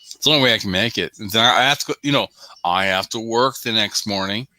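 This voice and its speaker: A man's voice: lowish voice, quick pace, pushed and bright, no room sound. A man on a sports show panel chatting casually about football, often repeating himself for emphasis.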